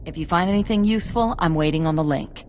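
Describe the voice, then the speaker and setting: An actress playing a composed military commander in a video game, formal, measured and authoritative. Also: female voice, low voice, a little mellow, slight background noise, close to an everyday voice. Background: game music.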